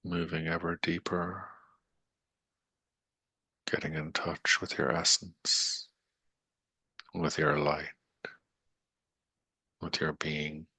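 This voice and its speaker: A man leading a guided meditation in a soothing, unhurried manner with long pauses and gentle coaxing, his voice breathy and gravelly. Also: a bass voice, level tone, dry recording.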